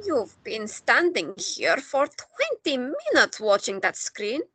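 Woman doing an older wife, protective, husky, lively high voice, dry sound. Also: takes it slowly, dry recording, full character voice. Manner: protective, firm. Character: elderly wife, protective and wry. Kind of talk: amateur audio drama acting.

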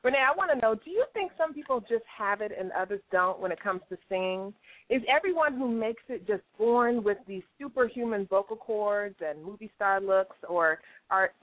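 A woman hosting a radio show, bubbly and quick to laugh, asking long questions. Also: medium pitch, expressive. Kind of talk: radio interview. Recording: tinny phone-like line.

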